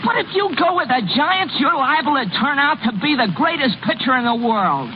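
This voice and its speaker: Excitable kid brother, very high-pitched. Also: male voice, normal speed. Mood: pleading, excited. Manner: exclamatory, pleading, folksy. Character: eager, excitable, nagging kid brother of a ballplayer. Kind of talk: 1930s-40s radio comedy acting. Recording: muffled, noisy old radio broadcast.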